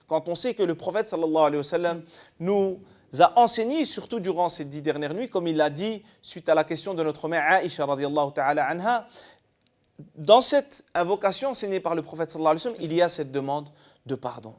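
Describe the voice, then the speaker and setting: A man from eastern France speaks in a high, very expressive voice. He explains scripture like a teacher on a panel, posing questions and answering them himself. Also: a little fast. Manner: didactic, poses rhetorical questions. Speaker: religious scholar guest. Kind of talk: explanatory religious talk show discussion.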